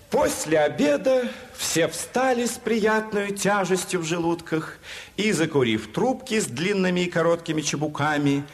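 A man narrating a classic story in a scripted, theatrical audiobook manner, with wry storytelling phrasing. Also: a little high.

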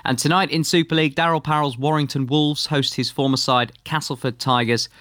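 A brisk man from the United Kingdom presents sports news on breakfast radio, with a lightly wry touch between the results. His voice is dry and close, with almost no room sound. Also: fairly high pitch, steady tone, fairly bright tone, no room sound. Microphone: studio microphone.

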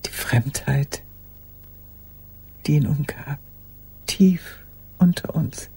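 An actress playing an old woman in a radio play monologue, courteous and haunted, recalling the past in a halting, breathy, raspy voice. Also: low-pitched, dark tone, full character voice, rough recording. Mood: tender, haunted. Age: older. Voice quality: husky, raspy, breathy, often dropping to a whisper. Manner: deliberate, reflective, halting.

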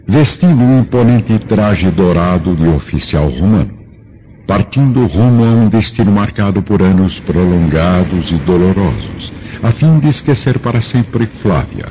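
A man's voice: sits low, warm tone, a little hiss or hum. A man with a Brazilian accent giving solemn, grandiloquent scripted narration for a radio drama. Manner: grandiloquent, theatrical. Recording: muffled radio broadcast with music. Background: clear background music.

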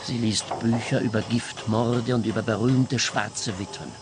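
A man's voice: medium pitch, steady tone, a little slow, natural voice. A man playing a fearful, worried husband in a radio play, speaking earnestly and precisely. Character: anxious, fearful husband, a detective's client.

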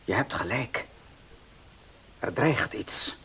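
An actor playing a headstrong farm owner and husband in a Dutch radio drama. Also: male voice, medium pitch, animated, slow pace, bright, forceful tone, slightly rough, exaggerated voice. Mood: uneasy.

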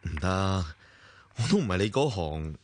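An actor with a Hong Kong accent playing a considerate, tactful confidant in a radio drama, in a gentle conversational manner. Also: male voice, deep voice, sing-song, moderate pace, a little hiss or hum. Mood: friendly, teasing.

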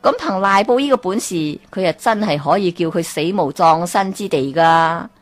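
A woman telling a folk tale as a storyteller, with lively exclamations and questions to the listener. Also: lowish voice, normal speed. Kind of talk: Cantonese folk tale narration.

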